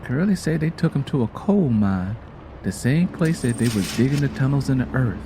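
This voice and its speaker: A man's voice: mid-range voice, animated, moderate pace, mellow, a little hiss or hum, natural voice. A man voicing a sorrowful mother who recounts hard family history in a plainspoken, reflective, folksy way. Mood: somber.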